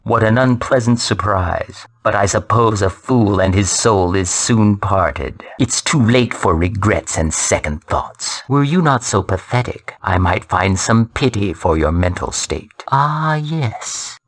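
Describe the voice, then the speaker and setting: Cold arrogant game villain, lowish voice, formal n menacing, takes his time gloating. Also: expressive. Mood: gloating, ominous. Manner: formal, deliberate, haughty menace. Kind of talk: scripted fantasy video game acting.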